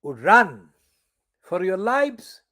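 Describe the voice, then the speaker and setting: An older man delivering an angry, profane political monologue as a channel editor, stressing words slowly and deliberately. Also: sits high, sing-song, sharp tone. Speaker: channel editor giving commentary.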